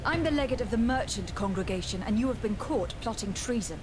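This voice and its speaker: An actress playing a composed, authoritative diplomat in a video game, speaking formally and with command. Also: female voice, a little high, animated, fairly quick, noisy background, close to an everyday voice. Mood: stern, composed. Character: young authoritative female diplomat, stern and composed interrogator.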